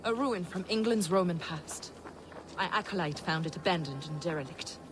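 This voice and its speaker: An actress playing a devout, scholarly heretic who speaks in an earnest, formal, preaching way in a game. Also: female voice, fairly low pitch, moderate pace, background noise, natural voice. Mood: earnest, reverent. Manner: earnest, didactic, formal phrasing. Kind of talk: dramatic video game acting.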